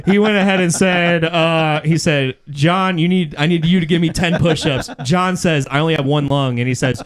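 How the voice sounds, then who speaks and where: A brash man hosting in a hyped game-show style, swearing freely and often breaking into shouts. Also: on the high side, normal speed, smooth. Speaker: podcast host.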